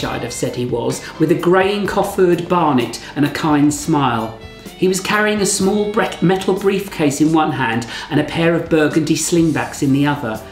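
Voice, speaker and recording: English man reading a story, roomy sound. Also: fairly high pitch. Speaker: author reading from own novel. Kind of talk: scripted first-person narration, audiobook reading. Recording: roomy echo, faint music behind.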